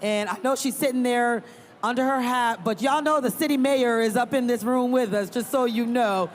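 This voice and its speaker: A woman originally from Liberia moderating a live panel, chatty and quick to laugh. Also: a little high, slight background noise. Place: large convention hall.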